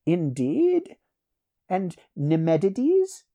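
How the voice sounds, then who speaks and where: A woman's voice: on the low side, huge pitch swings, extremely slow, warm tone, voice pushed up, exaggerated voice. A woman voicing an ancient aristocratic male sorcerer with a wry, archaic, theatrical manner in a fantasy audiobook. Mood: dazed, curious.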